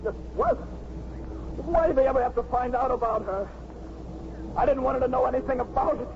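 Anguished young male, halting, very high-pitched, noisy vintage radio play. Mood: confused, dejected. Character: distraught, confused young man, wounded and defensive. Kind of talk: 1950s radio drama acting. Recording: muffled, noisy 1950s radio recording.

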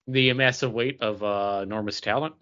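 A man's voice: lowish voice, steady tone, moderate pace, sharp tone, smooth, muffled recording. A man co-hosting a podcast, chatting casually about geek culture with a wry, play-along manner. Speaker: podcast co-host.

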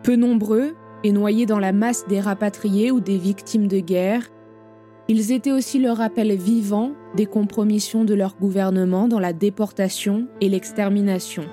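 Mellow-voiced woman narrator. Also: medium pitch, fairly even intonation, normal speed. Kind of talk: scripted documentary narration. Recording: soft music behind the voice.

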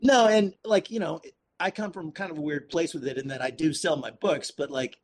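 A man speaking casually and earnestly as an interview guest, thinking aloud in a halting way. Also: a little high. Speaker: podcast guest, activist and author. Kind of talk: casual conversational interview answers.

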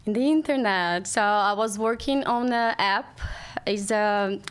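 A woman with a Brazilian accent, a native Portuguese speaker, chatting casually as a podcast guest in slightly halting English. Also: mid-range voice, clean tone. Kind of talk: casual chat.

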